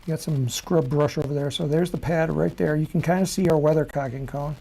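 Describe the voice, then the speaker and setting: Gravelly, mellow-voiced man demoing software. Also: fairly high pitch, fairly even intonation, a little fast, poor audio. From Chicago. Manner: rambling, self-correcting, chuckles at himself. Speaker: rocketry software presenter. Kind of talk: casual screen-share demo.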